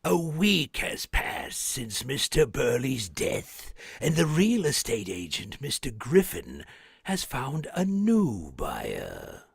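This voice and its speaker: Man as a gravelly, slow horror narrator. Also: medium pitch, wildly swooping pitch, no echo, studio quality, full character voice. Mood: portentous. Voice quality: gravelly, husky. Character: slow, dramatic narrator of an old horror tale. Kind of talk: 1940s radio horror narration.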